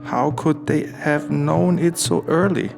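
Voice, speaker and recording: A man playing a weary, self-asserting dancer in a radio play, halting and repetitive. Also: fairly high pitch, creaky. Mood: weary, brooding. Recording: music behind the voice.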